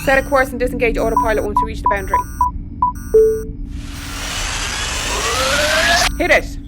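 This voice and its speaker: Over loud music, a woman acts out a sharp-tongued young starship commander with an Irish accent. Her voice sits on the high side and she is brusque and bossy, a sardonic captain from a sci-fi radio play. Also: wildly swooping pitch, racing. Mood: tense, angry. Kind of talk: sci-fi comedy audio drama acting.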